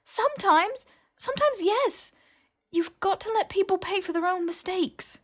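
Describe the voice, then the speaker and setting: A woman voicing a clever, anxious young woman in an audiobook, brisk and incredulous as she lectures a friend. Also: extremely high pitch, expressive, voice pushed up. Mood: exasperated. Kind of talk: dramatized audiobook dialogue.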